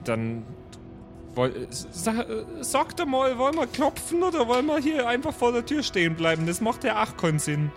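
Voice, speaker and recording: A man's voice: extremely high pitch, huge pitch swings, normal speed. A man playing a tabletop role-play game, improvising casually in character as a player. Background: faint background music.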